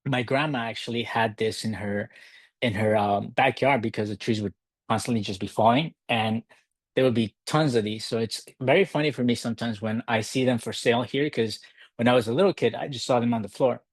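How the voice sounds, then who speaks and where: A man from Ecuador hosting a live virtual workshop, talking in a relaxed, halting way with many fillers. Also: mid-range voice, dry recording. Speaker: workshop host. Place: home in a city. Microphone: computer mic on a video call.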